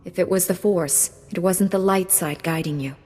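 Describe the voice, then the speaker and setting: A woman playing a calm, resolute Jedi knight in a video game, speaking with earnest firmness. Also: fairly low pitch, normal speed, natural voice. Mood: firm. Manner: composed, earnest, firm. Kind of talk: scripted game dialogue. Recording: music behind the voice.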